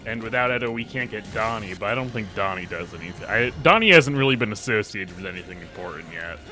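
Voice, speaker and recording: Male co-host, blunt, animated talker, bright edgy tone, faint music under. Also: on the low side, quick pace. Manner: blunt, animated, swears casually. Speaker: co-streamer. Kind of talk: casual gameplay stream chat.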